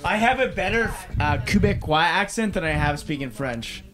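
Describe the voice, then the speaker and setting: Young man, high voice, blunt joker, swearing, party crowd behind. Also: expressive, moderate pace. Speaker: friend. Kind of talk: casual party chat. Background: crowd chatter.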